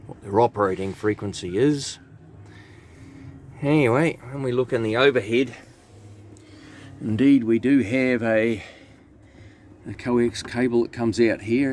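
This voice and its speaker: A man giving a matter-of-fact, slightly grumbling hands-on commentary as a technician at work in a truck. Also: mid-range voice. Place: inside a truck cab and on its roof. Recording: steady background noise, vehicle ambience.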